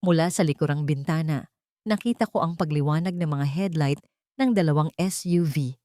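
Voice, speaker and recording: Woman, low voice, dry close sound, scripted dramatic story narration. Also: moderate pace, warm tone, no echo.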